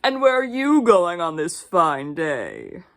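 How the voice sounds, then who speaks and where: A woman's voice: on the low side, huge pitch swings, takes it slowly, voice pushed down, exaggerated voice. Woman putting on a slyly polite big bad wolf. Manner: falsely sweet, coaxing. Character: wicked great gray wolf, male, slyly polite, hungry.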